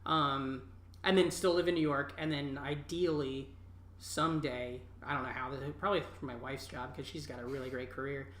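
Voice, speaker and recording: A man's voice: medium pitch, steady tone, normal speed, a bit bright. A man in his thirties from Southwest Iowa, a comedian guest talking in a rambling, upbeat way with casual swearing. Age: 30s. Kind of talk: casual podcast chat. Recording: roomy echo.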